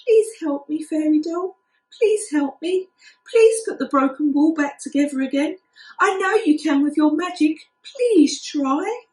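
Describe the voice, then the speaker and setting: A woman voicing a small, curious male kitten in a storybook, excitable and pleading. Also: sits high, measured pace, voice pushed up. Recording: echoey room.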